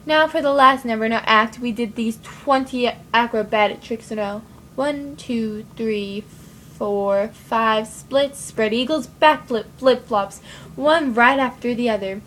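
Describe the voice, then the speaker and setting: A woman playing a stage performer who killed her sister and husband, telling it in a brisk, showy way. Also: a little high, a bit bright, a little hiss or hum.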